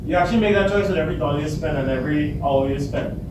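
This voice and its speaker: Loud Trinidadian man in an echoey hall. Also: on the high side, very fast. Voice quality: big voice. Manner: loud, unamplified, asks the audience questions. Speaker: speaker at a student workshop. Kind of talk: motivational talk to students. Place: large echoey hall, no microphone. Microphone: far camera. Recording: echoey hall, distant camera, audible noise.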